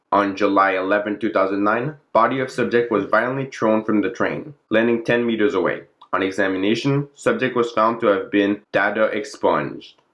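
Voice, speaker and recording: A man's voice: lowish voice, fairly bright tone, highs cut off. A man narrating a fictional classified document in a formal, clinical log-reading manner. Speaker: narrator and presenter of the channel.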